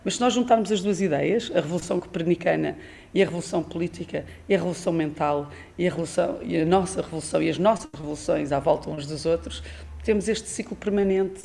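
A man's voice: on the high side, quick pace, vocal fry, a little mellow. A man speaking as a radio interview guest, reflective, stacking ideas in long lists.

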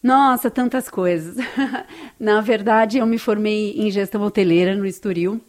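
A woman's voice: mid-range voice, measured pace, a little mellow. A woman from Brazil with a Brazilian Portuguese accent, speaking as an interview guest in a heartfelt, conversational storytelling way.

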